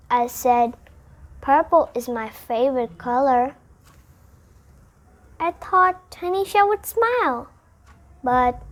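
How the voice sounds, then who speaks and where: Slow, high-pitched child reading a storybook aloud. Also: fairly bright tone. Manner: deliberate, phrase-by-phrase reading. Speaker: storybook reader. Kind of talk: read-aloud of a children's picture book.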